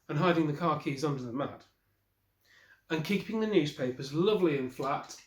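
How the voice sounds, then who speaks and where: A man in his forties reads a children's picture book aloud. His voice sits a little high for a man, and he tells the tale expressively. He is in a small room with plenty of echo around the voice. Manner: expressive storyteller with brief asides to listeners. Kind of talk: storybook read-aloud. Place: small wallpapered room indoors. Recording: roomy, echoey indoor recording.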